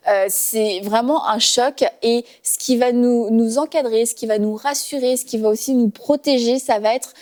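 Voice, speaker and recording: A woman hosting a faith talk show from a studio set, chatty and warm with laughter, using many fillers. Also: a little high, normal speed, few pauses.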